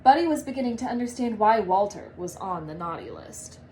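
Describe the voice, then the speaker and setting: A woman reading a story aloud to children like a teacher, with animated, drawn-out storybook phrasing. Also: medium pitch, a little hiss or hum. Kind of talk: expressive read-aloud storytelling.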